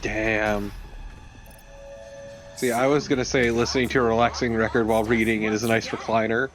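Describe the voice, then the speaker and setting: A man's voice: lowish voice, little pitch movement, moderate pace, faint music behind. A man making playful, joking small talk as co-host of a casual video game commentary. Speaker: let's play co-host. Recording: faint background noise.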